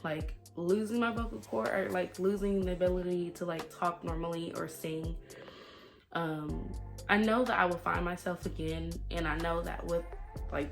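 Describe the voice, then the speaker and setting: A woman's voice: on the low side, vocal fry. A woman talking candidly and confidingly in a conversational personal vlog. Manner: conversational and confiding, quotes herself and others often. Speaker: vlogger. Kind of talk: candid personal life-update vlog talk. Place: at home.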